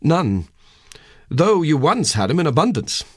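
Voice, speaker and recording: A man's voice: a little high, big pitch swings, unhurried, a little mellow, no room sound, pitched above the performer's own voice. An elderly English-novel parson, played by a male narrator, learned and condescending. He speaks in a raised, very varied voice with precise, bookish care, close and dry. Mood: dry, dismissive.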